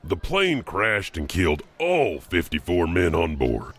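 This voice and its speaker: A man's voice: low voice, wildly swooping pitch, a little slow, fairly bright tone. A man hosting and narrating a horror anthology with a scripted, dramatic storyteller delivery. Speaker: host and narrator.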